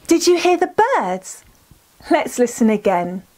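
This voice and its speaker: High, animated female children's storyteller. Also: a little slow, a little hiss or hum. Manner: enunciated, encouraging, asks listeners questions. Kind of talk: scripted children's storytelling and teaching.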